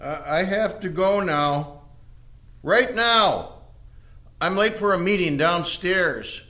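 Man acting slow, fretful old professor, echoing room. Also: sits high, lively intonation, sharp tone, full character voice. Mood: flustered. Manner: fretful, self-important. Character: confused, irritable old male physics professor. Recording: roomy, echoing sound.

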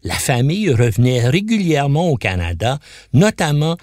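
Animated man narrating a documentary. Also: medium pitch, moderate pace, dry recording, studio quality. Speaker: podcast host and narrator.

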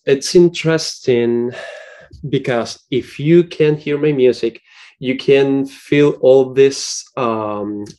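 A man's voice: medium pitch, mellow. A young man from Cuba with a Cuban Spanish accent, a music student and composer answering interview questions, searching for his words in English.

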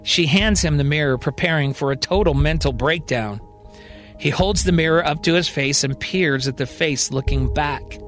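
Higher-pitched male storyteller over music. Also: normal speed, fairly bright tone. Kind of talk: scripted dramatic narration of a science-fiction serial.

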